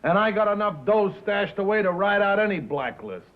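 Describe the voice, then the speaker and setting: An actor playing a brash, cynical American wheeler-dealer in a 1950s film drama, with sarcastic, slangy, punchy delivery. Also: male voice, high-pitched, expressive, moderate pace. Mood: defiant. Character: brash, cynical, mocking male broadcast-business wheeler-dealer. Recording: muffled old film soundtrack.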